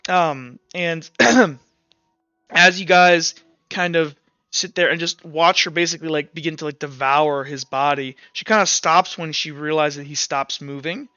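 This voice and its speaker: A man's voice: on the high side, sharp tone, clean tone, dry recording, dull audio, faint music behind. A young man narrating a tabletop role-playing session as game master, with many fillers and restarts. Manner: halting, filler-heavy narration.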